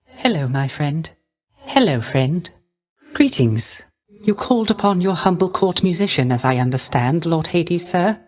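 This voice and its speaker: A male voice actor playing a gentle, melancholy young poet-musician of the underworld in a video game, with soft, airy, ornate and deferential speech. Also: fairly high pitch, expressive, measured pace. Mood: apologetic, deferential. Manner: ornate, formal, deferential diction. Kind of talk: theatrical game character voice lines.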